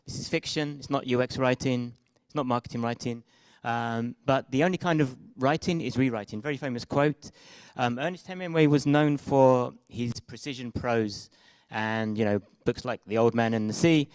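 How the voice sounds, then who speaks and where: A man's voice: mid-range voice, moderate pace, a little mellow, smooth, dry recording, poor audio. A young man with a British accent giving a casual talk at a meetup, speaking into a handheld microphone, hesitant with many fillers and restarts. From Britain and Israel. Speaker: conference speaker. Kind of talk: live meetup presentation. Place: meetup room with projected slides.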